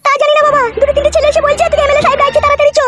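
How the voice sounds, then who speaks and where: A woman's voice: very high-pitched, monotone, very fast, heavy background noise. A woman voicing a worried, complaining young daughter-in-law in an amateur comedy cartoon. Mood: agitated, aggrieved. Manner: agitated, complaining.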